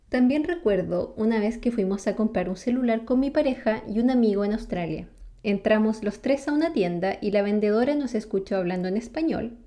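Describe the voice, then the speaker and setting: Mellow-voiced Chilean woman, friendly explainer. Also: mid-range voice, animated, normal speed. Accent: Chilean Spanish. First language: Spanish. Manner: friendly, asks the viewer questions, laughs often. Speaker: vlogger. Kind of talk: explanatory talk to camera.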